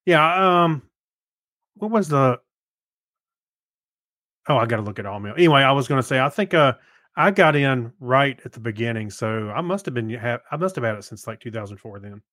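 A man with a mid-pitched voice chats casually as a podcast co-host. He is low-key and a little hesitant, meandering as he talks, and the sound is dry with no room echo. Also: expressive, moderate pace, pauses often, fairly bright tone.

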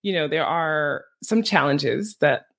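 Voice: medium pitch, expressive, slow pace, fairly bright tone. A podcast host interviewing a guest in a chatty, rambling way, often tagging questions with "right?".

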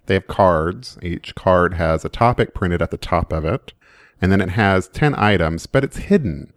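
A man's voice: low-pitched, animated, normal speed, no echo. A man co-hosting a board game podcast, teasing his friend and walking through the rules in a playful, chatty way. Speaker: podcast co-host. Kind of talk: casual chat about board games.

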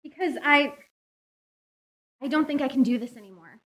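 A woman's voice: high voice, normal speed, lightly acted. A woman playing a fed-up, melodramatic girlfriend breaking things off in a radio-style comedy sketch. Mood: exasperated. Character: exasperated girlfriend dumping a clingy email service.

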